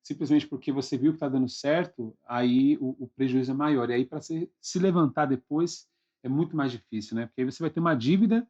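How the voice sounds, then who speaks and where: A husky male podcast host with a Brazilian Portuguese accent speaks at a medium pitch. His delivery is informal and encouraging, like a relaxed conversation. The recording is a little dull and lo-fi. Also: a little fast. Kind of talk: casual conversational interview. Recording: dull lo-fi sound, faint music.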